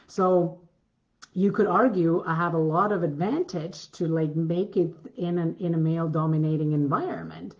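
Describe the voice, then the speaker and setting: A woman with a deep voice giving a coaching masterclass, earnest and repetitive, often restarting her sentences. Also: steady tone, normal speed, highs cut off. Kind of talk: masterclass talk.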